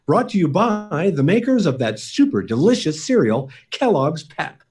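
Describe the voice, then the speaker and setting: Vintage radio narrator, male actor: portentous, suspenseful, very animated intonation, a bit off-mic. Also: fairly high pitch, moderate pace, loud music behind the voice. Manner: portentous, theatrical. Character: dramatic male radio serial announcer. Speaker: narrator and announcer. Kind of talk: 1940s radio serial narration. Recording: distant mic, live remote performance.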